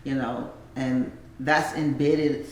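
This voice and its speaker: A man's voice: mid-range voice, level tone, slow pace, reverberant, low-quality recording. An older man, a community elder, speaking earnestly in a casual group conversation and often filling with "you know.". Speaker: community elder who runs youth programs.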